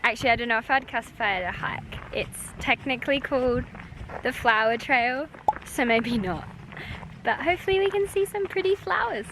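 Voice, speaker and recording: A woman's voice: a little high, lively intonation, normal speed, poor audio. A woman in her twenties chatting casually as a travel vlogger, with an informal, gushing and playful manner. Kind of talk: casual vlog chat. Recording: music playing under the voice. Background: music.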